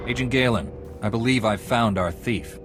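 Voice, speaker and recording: An actor playing a steady, earnest young knight in a video game, speaking with terse confidence. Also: male voice, mid-range voice, moderate pace, close to an everyday voice. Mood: firm. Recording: music under the voice.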